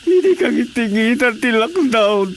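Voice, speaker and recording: Slow, plaintive poor father. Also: very high voice, animated, a little hiss or hum, full character voice. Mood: tearful, aggrieved. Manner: plaintive, pleading, drawn-out. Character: burdened poor village husband and father, pleading with the chairman. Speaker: radio drama actor. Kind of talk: Filipino radio drama comedy acting.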